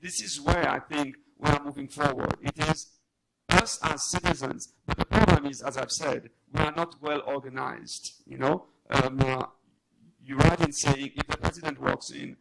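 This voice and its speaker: Halting man with a creaky voice. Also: a little high, fairly even intonation, very noisy. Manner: halting, emphatic. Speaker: journalist on a debate panel. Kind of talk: unscripted panel debate remarks.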